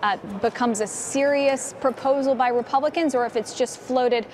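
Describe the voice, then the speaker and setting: Higher-voiced American woman news correspondent. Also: steady tone, normal speed, darkish tone, a little hiss or hum. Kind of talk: live TV news report.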